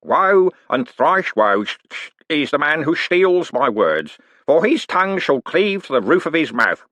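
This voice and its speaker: A man's voice: fairly high pitch, very animated, a little slow, fairly bright tone, no echo, pitched above the performer's own voice. A man voicing a sly older male con man who poses as a preacher, wheedling and mock-pious with folksy slang. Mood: preaching.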